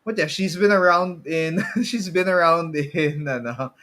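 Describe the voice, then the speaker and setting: A man's voice: a little high, unhurried, fairly bright tone. A man with a Filipino accent co-hosting a podcast in casual, chuckly chat, often hesitating and repeating himself. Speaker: podcast co-host. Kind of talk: casual chat about video game movies.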